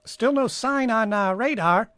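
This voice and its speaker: Man acting a junior radar operator, radio drama, extremely high clear voice, brisk, dutiful. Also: sharp tone, dry recording.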